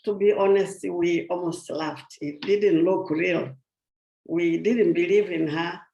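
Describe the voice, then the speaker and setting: An older woman from Tanzania tells her story slowly and earnestly, her voice low-pitched and gravelly. The sound comes through a video call with a roomy echo. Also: dark tone. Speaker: guest speaker. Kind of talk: personal testimony talk.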